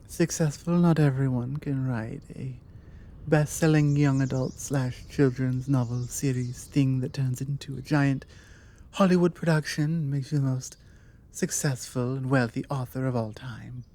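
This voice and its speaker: A British woman playing a forward, self-assured female vampire in a one-sided, conversational ASMR roleplay, with measured delivery and deliberate pauses. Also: a bass voice, warm tone, exaggerated voice.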